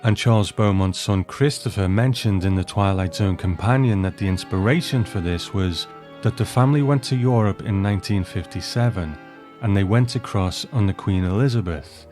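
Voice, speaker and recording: A man with a low voice hosts a scripted episode commentary. His delivery is measured and explanatory, and light music plays behind him. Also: darkish tone. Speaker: host of a commentary show on an old TV series.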